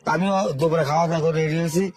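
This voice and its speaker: A man's voice: fairly high pitch, fairly even intonation, a little mellow. Older man rambling through his story. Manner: rambling, repetitive, halting storytelling. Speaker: guest telling his life story. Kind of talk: informal spoken life story.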